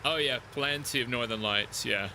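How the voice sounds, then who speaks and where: A man chatting casually with his live-stream chat while playing a driving game, relaxed and talkative. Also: mid-range voice, moderate pace, slight background noise.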